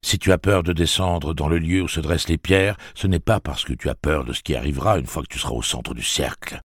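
A man reading the part of a stern, weathered older gunslinger, speaking slowly and coldly. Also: rumbling low, breathy edge, no room sound, clean studio sound, heavily acted. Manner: slow, measured, cold.

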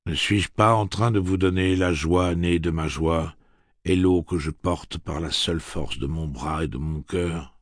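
A man's voice: deep voice, unhurried, no room sound, studio quality. A man voicing an aging, weary gunslinger who speaks in a solemn, ceremonious way. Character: aged weary gunslinger, grave and formal.

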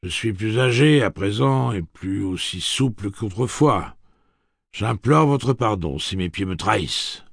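A man's voice: lowish voice, expressive, unhurried, gravelly, breathy edge, no room sound, studio quality, pitched above the performer's own voice, exaggerated voice. A man voicing an aging, weary gunslinger who speaks in a solemn, ceremonious way. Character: aged weary gunslinger, grave and formal.

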